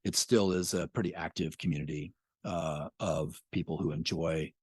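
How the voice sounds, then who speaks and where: A man's voice: a bass voice, steady tone, moderate pace, a little mellow, husky, no echo, studio quality. A middle-aged man, a podcast creator, talking casually as a guest, reflective and full of fillers. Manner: reflective, rambling with many fillers. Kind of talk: casual interview conversation.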